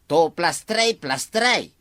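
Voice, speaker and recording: A man's voice: a little high, lively intonation, slow pace, pushed and bright, no room sound, clean studio sound, full character voice. An actor playing a fussy, bantering village man in a Filipino radio comedy drama, with an Ilocano accent.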